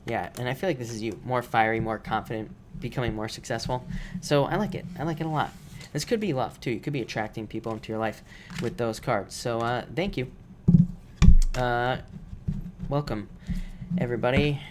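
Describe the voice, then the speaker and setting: Man with an allergy-roughened voice. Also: mid-range voice, a little fast, very noisy. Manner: casual, repetitive, many fillers. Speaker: tarot reader. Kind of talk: live-stream tarot reading.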